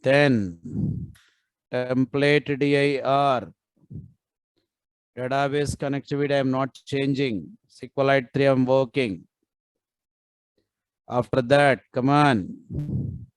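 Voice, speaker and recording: Slow, coaxing coding teacher. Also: male voice, medium pitch, fairly even intonation, smooth, no echo, low-quality recording. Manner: coaxing, repeats prompts to students. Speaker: programming instructor. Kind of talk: live online coding class.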